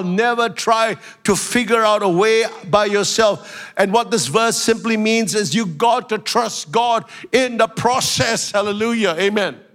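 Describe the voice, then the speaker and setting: A man preaching a sermon as pastor, a little nasal from a flu, building up to shouted, slowed-down emphasis. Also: high-pitched. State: has a flu.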